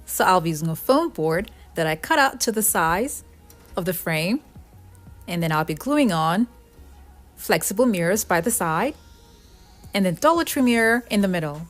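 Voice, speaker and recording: A woman's voice: lowish voice, expressive, moderate pace, long pauses. A woman giving an explanatory, step-by-step voice-over for a craft tutorial. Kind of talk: scripted DIY tutorial voice-over narration. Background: background music.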